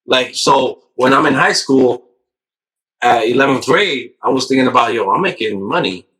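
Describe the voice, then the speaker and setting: A man's voice: mid-range voice, moderate pace, sharp tone, breathy edge, rough recording. A man in his thirties talking casually on a podcast, rambling through his life story with lots of "you know.". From United States. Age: 30s. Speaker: podcast guest.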